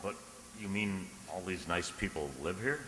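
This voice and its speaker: A man's voice: low voice, animated, normal speed, a bit bright, slight background noise. A man acting an earnest, nervous poet in a radio drama, intense and given to stammering as he tells his tale. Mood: troubled. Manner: intense, stammering when spoken to. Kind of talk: dramatic first-person radio narration and acting. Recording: echoing reverberant hall sound.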